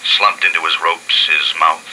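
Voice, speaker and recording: Male narrator, grave, measured, slow, bright tone, faint hiss. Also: low voice, flat delivery, poor audio. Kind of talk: dramatic audiobook narration. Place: basement.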